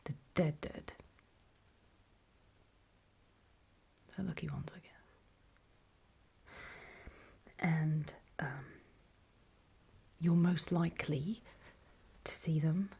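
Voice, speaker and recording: A woman playing a weary, wary zombie apocalypse survivor in a halting, breathy whispered ASMR horror roleplay. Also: deep voice, mellow, exaggerated voice. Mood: wary, resigned. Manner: halting, hesitant, slow and trailing off.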